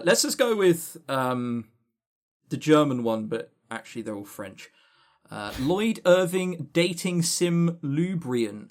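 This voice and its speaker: Sarcastic, high-pitched, animated man. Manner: rambling, sarcastic, dry humour; swears casually. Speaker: podcast co-host. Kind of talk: casual chat running through award lists.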